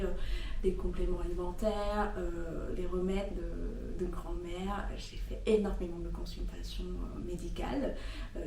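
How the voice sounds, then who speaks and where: A woman in her thirties from Vietnam, a native Vietnamese speaker with a Vietnamese accent, explaining informally in an interview as a brand founder, hesitant and often pausing to search for words. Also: on the low side, gravelly, dark tone. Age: 30s. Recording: roomy echo, distant mic, faint noise.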